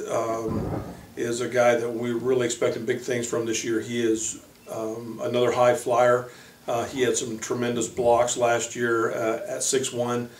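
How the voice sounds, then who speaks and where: Echoey, even-toned male basketball coach. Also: medium pitch. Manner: hesitant, halting delivery with frequent pauses. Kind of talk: informal spoken player introductions. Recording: echoey room, distant microphone.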